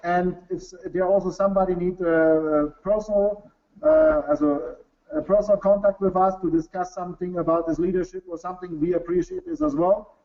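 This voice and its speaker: A man from Germany, speaking English with a German accent, gives an earnest webinar business presentation in halting non-native English full of restarts. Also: sits high, mellow, lo-fi sound. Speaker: company executive presenting to a webinar.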